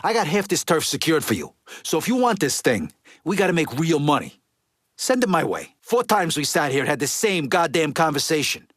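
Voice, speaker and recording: Game role: gruff middle-aged American male mob underboss, impatient and streetwise, slightly higher voice, very dry sound. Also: fairly bright tone, no room sound. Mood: fed up. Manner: blunt, profane, streetwise wiseguy talk. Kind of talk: video game dialogue acting.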